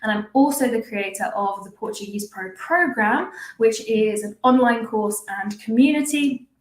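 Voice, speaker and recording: A woman's voice: fairly high pitch, moderate pace, reverberant, low-quality recording. A woman hosting a live-stream interview as a language teacher, upbeat and chatty. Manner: upbeat, chatty, laughs often.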